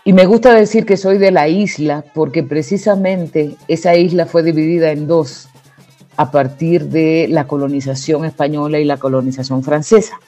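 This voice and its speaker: A woman from the Dominican Republic with a Dominican accent, explaining her views as an interview guest in a deliberate, reflective way, stressing key words. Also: low-pitched, steady tone, dark tone, faint music behind.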